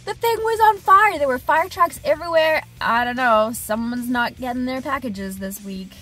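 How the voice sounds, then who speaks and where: Animated woman vlogging from her truck. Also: sits high, normal speed, soft background music, rough recording. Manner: animated, expressive, gesturing with hands. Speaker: HVAC technician and business owner. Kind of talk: casual vlog diary. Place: inside a parked truck cab. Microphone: camera on dashboard at arm's length.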